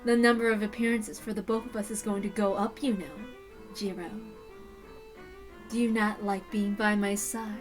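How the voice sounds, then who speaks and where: A woman voicing a coolly amused lady from an anime visual novel, coaxing and teasing softly. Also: mid-range voice, little pitch movement, normal speed, voice pushed down, lightly acted. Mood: coy. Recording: music behind the voice.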